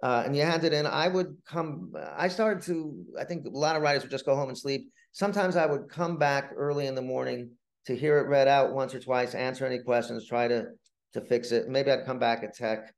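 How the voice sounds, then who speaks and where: Man telling stories with halting restarts. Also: mid-range voice, fairly even intonation. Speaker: guest, playwright and TV writer. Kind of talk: casual anecdotal interview talk.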